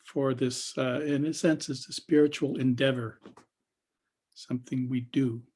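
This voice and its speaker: A man with a husky voice leading an unscripted guided meditation as a teacher, speaking haltingly with long pauses and trailing phrases. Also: medium pitch, fairly even intonation, a little mellow.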